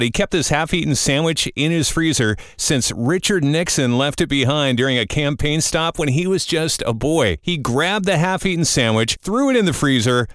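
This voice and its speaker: A man's voice: fairly high pitch, fairly bright tone, no echo, studio quality. A man hosting in a casual morning-radio style, conversational and fond of tossing in little tag questions. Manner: conversational, peppered with 'you know' and rhetorical tags. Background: music bed.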